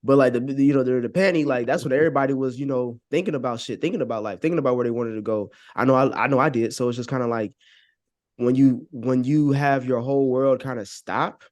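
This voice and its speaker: A man's voice: medium pitch, darkish tone. Reflective man with a mid-range voice. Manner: halting, reflective, repeats phrases. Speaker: podcast guest. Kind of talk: casual chat.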